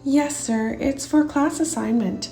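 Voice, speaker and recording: A woman's voice: high-pitched, measured pace, creaky, warm tone, soft background music, pitched above the performer's own voice. A woman voicing a curious, earnest young schoolgirl in a children's storybook. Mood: nervous. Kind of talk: children's storybook character reading.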